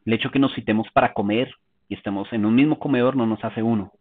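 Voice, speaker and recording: Male preacher, husky, calm teaching tone, tinny phone-line sound. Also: medium pitch, fast talker. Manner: calm, explanatory, asks rhetorical questions. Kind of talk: teaching sermon.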